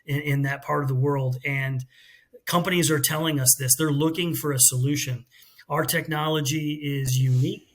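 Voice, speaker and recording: A man's voice: a little high, fairly even intonation, moderate pace. A middle-aged American man, a company CEO, explaining his work fluently in a business interview. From United States. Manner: fluent, explanatory, with some restarts.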